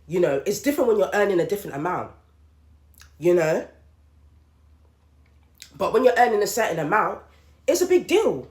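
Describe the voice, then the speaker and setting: A woman's voice: medium pitch, big pitch swings, normal speed, pauses often, a little mellow. A woman, hosting a podcast and venting her thoughts in a candid solo monologue, with emphatic repetition. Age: late 30s. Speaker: podcast host. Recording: roomy echoey room.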